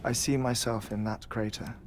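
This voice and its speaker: An actor playing a calm, measured, dryly wry man who dies every twenty-one minutes, in a video game cutscene. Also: medium pitch, very noisy, lightly acted. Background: game soundtrack music.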